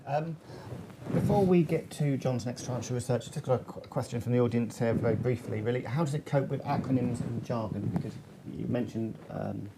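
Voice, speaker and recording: Creaky-voiced male panel moderator. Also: mid-range voice, a little fast, background noise. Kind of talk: conversational panel moderating, relaying audience questions.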